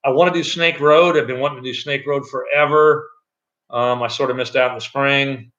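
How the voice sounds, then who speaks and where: A middle-aged man with a loud voice, chatting loosely on a live stream from an echoey room at home, with many fillers and restarts. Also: mid-range voice, steady tone, normal speed, lo-fi sound. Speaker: channel host. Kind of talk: casual live-stream chat.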